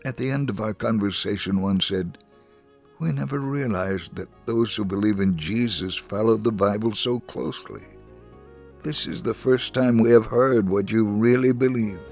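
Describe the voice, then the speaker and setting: A man reading a religious first-person story as scripted narration, in an earnest, solemn and measured storytelling manner. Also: lowish voice, a little mellow, soft background music.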